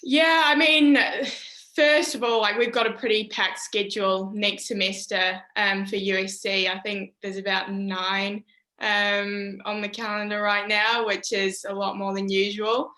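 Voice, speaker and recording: A young woman, a university golfer answering interview questions casually and pausing often on "um". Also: mid-range voice, echoey room. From New Zealand. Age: young adult. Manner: relaxed, many "um" pauses. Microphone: video call.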